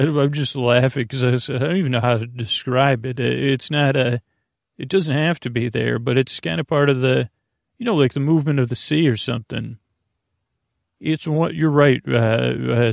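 A man hosting a bedtime podcast in a casual, gentle, reassuring ramble, halting and interrupting himself as he trails off mid-thought. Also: no room sound, studio quality. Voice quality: gravelly, creaky. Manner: gentle, reassuring, low-pressure. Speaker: podcast host.

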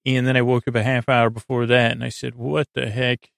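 A man hosts a sleep podcast in a creaky, mid-pitched voice with heavy fry. He rambles soothingly, halting and drifting into tangents as he goes. The sound is dry, with almost no room around the voice. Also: flat delivery, no echo. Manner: meandering, halting, digressive, lulling. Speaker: podcast host.

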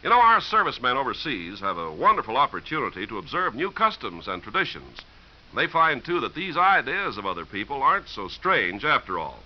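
A man's voice: mid-range voice, sing-song, fairly quick. A man with an American accent reading an explanatory script as a 1950s radio announcer, posing and answering his own questions. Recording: dull 1950s radio recording.

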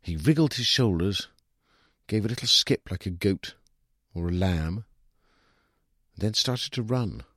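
A British man reading a literary short story in an expressive, wry first-person storytelling manner, with a husky voice. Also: lowish voice, measured pace, long pauses, no room sound, clean studio sound. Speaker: story reader.

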